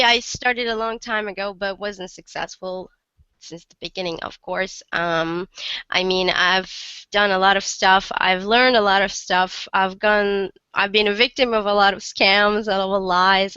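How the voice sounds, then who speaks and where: Woman, 30s, from Morocco, sick guest, smooth clear voice, close and dry sound. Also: medium pitch, sharp tone, no room sound, highs cut off. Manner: chatty, many fillers and restarts. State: sick, rough voice. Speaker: interview guest. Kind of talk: casual interview chat.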